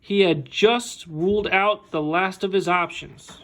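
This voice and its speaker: A man reading a picture book aloud expressively, with animated, deliberate phrasing and dramatic pauses, like a school staff member at storytime. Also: high voice.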